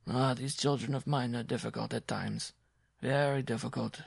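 A fatherly older circus owner played by a male narrator mutters with mild, wistful fondness. The storybook voice is slow and musing, a little lifted in pitch and recorded dry. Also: fairly even intonation, vocal fry, slightly rough, dry recording.

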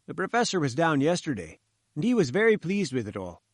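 A man's voice: fairly high pitch, huge pitch swings, a little fast, dry recording, clean studio sound. A man voicing a thin, melancholy head engineer in an audiobook, talking in a gloomy, worried manner. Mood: matter-of-fact, weary.

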